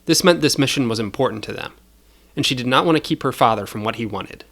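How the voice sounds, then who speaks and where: A man's voice: medium pitch, expressive, fast talker, a bit bright. A man narrating scripted audio fiction as the storyteller.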